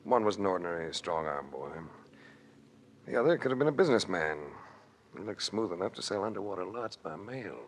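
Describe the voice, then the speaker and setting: An actor playing a wry, hard-boiled adventurer in a 1940s radio detective drama. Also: male voice, sits low, lively intonation, a little fast. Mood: wry narrating. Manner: dry, wisecracking. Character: wry, hard-boiled male adventurer telling his own story. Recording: noisy old radio broadcast recording.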